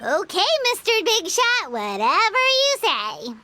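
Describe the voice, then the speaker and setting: Small fairy sidekick, extremely high, lively swooping pitch, skeptical, cheeky, cartoonish game voice. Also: female voice, unhurried, soft background music, heavily acted. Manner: sassy, skeptical, refers to self in third person. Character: tiny, squeaky, cheeky floating fairy companion. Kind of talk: cartoonish video game voice acting.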